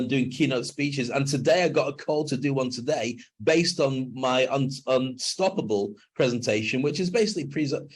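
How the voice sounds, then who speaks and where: Husky older man, emphatic speaker. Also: mid-range voice. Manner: emphatic, animated when making a point. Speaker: guest, NLP trainer and speaker. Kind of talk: casual video interview.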